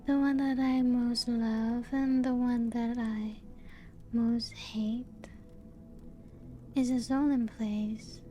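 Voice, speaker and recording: A woman playing a genteel, serene, playful ancient vampire in a soft-spoken ASMR roleplay, with a breathy, often half-whispered voice and gentle lilt. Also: on the high side, little pitch movement. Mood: nostalgic, gentle. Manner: slow, unhurried, gently lilting storytelling. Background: music.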